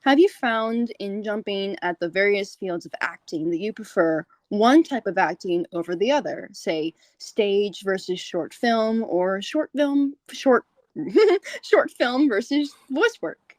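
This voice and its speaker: A woman's voice: medium pitch, lively intonation, measured pace, dry recording. Woman podcast host with a dry, close sound. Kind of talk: conversational interview hosting.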